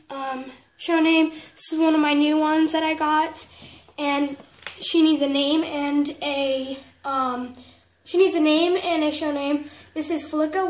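A woman giving a casual show-and-tell narration in a halting way, often trailing off and correcting herself. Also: sits high, darkish tone. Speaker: vlogger giving a tour of a toy horse barn. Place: indoors at home, room with wooden floor. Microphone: handheld camera, speaker behind it.

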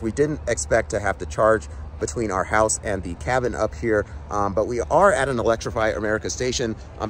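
A man in his thirties talking casually to viewers as a car vlogger, explaining things in a conversational way. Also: medium pitch. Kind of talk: casual vlog narration to viewers. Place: inside a moving car, later outdoors at a charging station. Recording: noisy handheld recording with vehicle or street noise.

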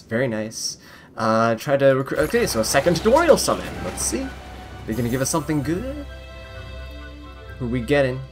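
A man giving casual, upbeat commentary on a video game, reacting aloud as he plays. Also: medium pitch. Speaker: gaming content creator. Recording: game music behind voice. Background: video game music.

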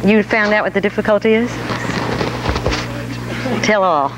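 A woman's voice: medium pitch, a little slow, loud music behind the voice, rough recording. A middle-aged woman interviewing a guest on an auditorium stage, asking questions in a poised, wry, direct way. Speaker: interviewer and television host. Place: auditorium stage before an audience.

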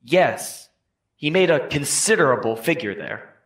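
A man's voice: fairly high pitch, expressive, measured pace, fairly bright tone. A man playing an 18th-century townsman giving careful, formal testimony in a scripted trial re-enactment. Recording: echoey room.

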